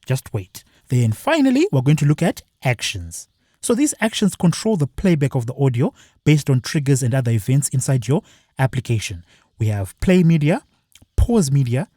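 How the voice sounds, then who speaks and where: Male tutorial presenter, mellow slightly husky tone, lively pitch, step-by-step delivery, dry close sound. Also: no room sound. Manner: plain step-by-step explaining. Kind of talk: screen-share walkthrough.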